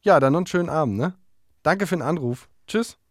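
An actor playing a straight-faced radio narrator and phone operator, matter-of-fact and deadpan in a satirical radio play. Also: male voice, a little high, quick pace, dry recording, clean studio sound, faint music behind, lightly acted. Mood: businesslike.

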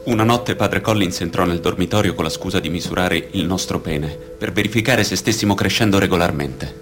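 A man's voice: sits low, fairly quick, music in the background, close to an everyday voice. A man reading scripted reports and testimony in a radio documentary play. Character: male victims recounting teenage abuse by priests.

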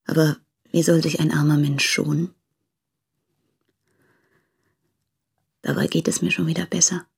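An actress playing a lonely, despairing young woman who confesses in letters in a radio drama, with a husky, halting, subdued delivery. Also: low voice, level tone, a little mellow, exaggerated voice. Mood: weary. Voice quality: breathy, husky with creaky edges.

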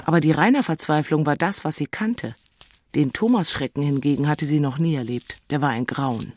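A woman's voice: sits low, normal speed, a little mellow, slight background noise, natural voice. An actress playing an exasperated, lovesick single city woman in a radio play, narrating with blunt, self-mocking asides. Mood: wry, bitter.

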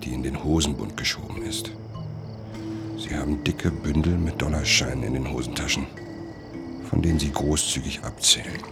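A man playing a sombre traveller, narrating a literary radio drama in a measured, descriptive way. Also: extremely low pitch, creaky, over loud music. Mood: sombre, observant.

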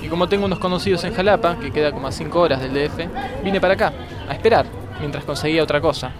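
A man's voice: a little high, racing, crowd noise, natural voice. An actor playing an affectionate, poetic traveller who misses his beloved, speaking tenderly in a radio drama. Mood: wistful, describing. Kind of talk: radio drama acting, intimate conversation. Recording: remote recording over outdoor ambience and music.

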